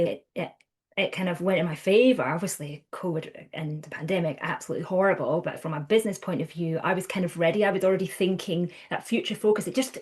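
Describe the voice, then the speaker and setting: A Scottish woman in her thirties speaks as a podcast guest, her voice sitting on the low side. She talks in a chatty, lively way, restarting phrases and acting out what others said. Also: husky. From Scotland. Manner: chatty, animated, with restarts and acted-out quotes. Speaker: guest counsellor. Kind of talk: conversational podcast interview.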